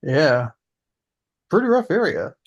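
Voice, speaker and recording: A man's voice: fairly high pitch, very animated, slow pace, dull audio, full character voice. Man reading an earnest, rambling man. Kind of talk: table read.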